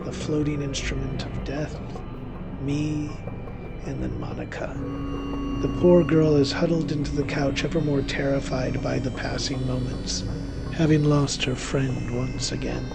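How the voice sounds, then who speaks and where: A man telling a scripted horror story in the first person, in a theatrical, deliberate way. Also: medium pitch, steady tone, creaky, loud music behind the voice.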